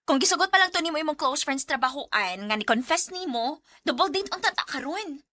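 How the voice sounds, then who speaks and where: A woman's voice: high-pitched, expressive, fairly quick, bright, forceful tone, no echo. A woman playing a chatty, girlish young girlfriend in a Bisaya radio drama, with a Cebuano Filipino accent. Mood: playful, teasing. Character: Alice, chatty teasing young girlfriend and best friend.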